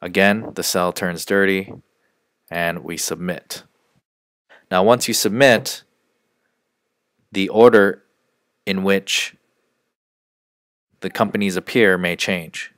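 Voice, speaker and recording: Male software trainer, low register, measured instructional screencast delivery, dry studio-style sound. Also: fairly even intonation, dry recording. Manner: measured, step-by-step explaining.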